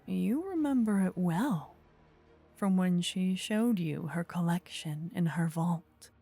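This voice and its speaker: A woman narrating a scripted fantasy ASMR story in a soft, breathy, partly whispered voice. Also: on the low side, expressive, takes it slowly.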